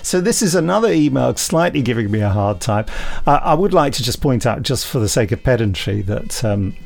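A man's voice: medium pitch, very animated, fairly quick, vocal fry. British man, middle-aged podcast host, wry. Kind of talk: casual podcast chat.

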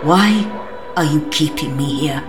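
A woman's voice: deep voice, a little slow. A woman playing a haughty, indignant alien leader held captive in an audio drama, making demands. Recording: echoey cell, music underneath.

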